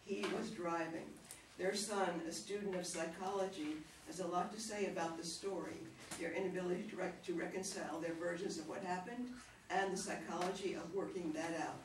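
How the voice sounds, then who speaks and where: Stage directions reader, scripted narration, roomy distant recording, clear background noise. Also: mid-range voice, steady tone, a little fast. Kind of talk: scripted narration for a play reading. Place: echoey room, mic away from reader.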